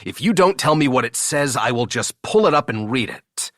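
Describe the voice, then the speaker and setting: An exasperated, commanding boss, played by a male narrator in an audiobook. He is blunt and terse, with lively shifts of pitch, recorded close and dry. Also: moderate pace, no echo, lightly acted. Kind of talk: audiobook character dialogue.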